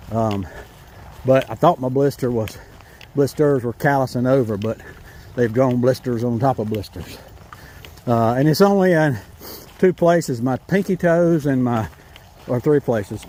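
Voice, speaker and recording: A man with a North Carolina Southern accent chats in a rambling, folksy way, correcting himself as he goes. His voice sits at an ordinary pitch. Faint outdoor noise runs under his voice as he walks a rural trail. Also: warm tone. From North Carolina, USA. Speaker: hiking vlogger. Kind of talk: casual walking vlog to camera.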